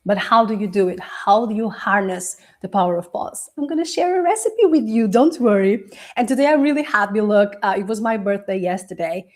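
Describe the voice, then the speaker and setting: A Portuguese-speaking woman talks straight to her viewers on a live stream, chatty and encouraging. She laughs easily between points. Her pitch sits in the middle range. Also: a little mellow. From Portuguese Angolan. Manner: chatty and encouraging, laughs often, sips tea. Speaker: coach hosting a live tea session.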